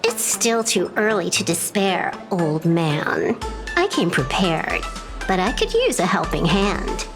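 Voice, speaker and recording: An actress playing a confident, coolly teasing outlaw woman in a video game, poised and smooth-tongued. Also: fairly low pitch, very animated, takes it slowly, exaggerated voice. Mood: coy, confident. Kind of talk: scripted video game voice acting. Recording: game music behind the voice. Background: music.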